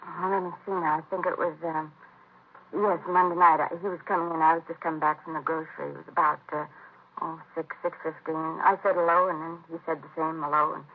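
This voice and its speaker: A woman's voice: low-pitched, flat delivery, fairly quick, breathy edge. A woman playing a chatty, flustered middle-aged landlady in a 1950s radio drama, with a rambling, folksy, gossipy manner. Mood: rambling, unsure. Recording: muffled vintage radio broadcast, phone-like band.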